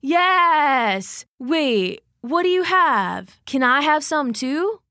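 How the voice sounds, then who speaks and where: A woman voicing Grumbles, an eager, dim male bear who cheers his friend on excitedly. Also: high voice, very slow, no echo, studio quality, voice pushed up, full character voice. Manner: excited, encouraging.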